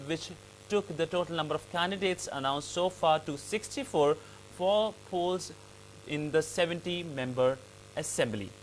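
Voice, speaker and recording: A male news anchor with an Indian accent reads bulletin items in a fairly high-pitched voice. His delivery halts now and then, over a faint hum. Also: a bit bright, rough recording. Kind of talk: live news bulletin reading.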